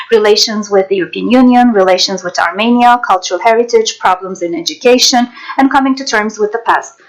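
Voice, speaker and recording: A woman narrator and interpreter reading scripted documentary voice-over in a measured, formal way. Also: on the high side, poor audio.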